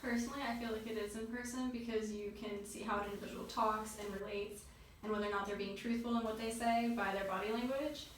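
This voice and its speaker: A woman's voice: fairly high pitch, fairly even intonation, quick pace. Young woman answering in echoey room. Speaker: interviewee. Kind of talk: conversational interview answers. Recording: very echoey room, far mic, faint noise.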